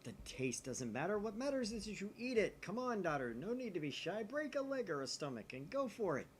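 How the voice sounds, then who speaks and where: A man's voice: high-pitched, sing-song, a little fast, pitched above the performer's own voice. Man doing a bossy, theatrical young mad scientist. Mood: insistent coaxing. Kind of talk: visual novel read-aloud. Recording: faint background noise.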